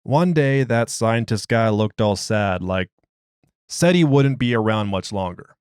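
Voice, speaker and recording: A man's voice: lowish voice, animated, unhurried, darkish tone, clean tone, no room sound, clean studio sound. A man voicing an agitated, streetwise prisoner who argues his case in slangy, ungrammatical, exasperated speech. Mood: subdued. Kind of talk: dramatized interview log.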